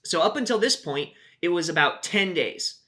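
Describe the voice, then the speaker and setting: A man's voice: high voice, expressive, normal speed, sharp tone. A man hosting his own channel, chatting casually and wryly about travel news, with frequent "uh" fillers. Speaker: YouTube host.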